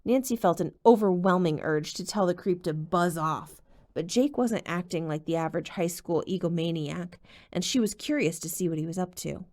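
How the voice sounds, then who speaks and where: Woman audiobook narrator, lower voice, expressive storytelling. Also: moderate pace. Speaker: audiobook reader.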